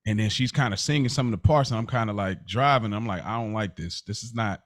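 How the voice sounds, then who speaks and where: A man's voice: lowish voice, fast, no room sound, clean studio sound. A man co-hosting a podcast in casual, slangy talk, often repeating and rephrasing himself as he explains things. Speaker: podcast co-host. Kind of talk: casual chat.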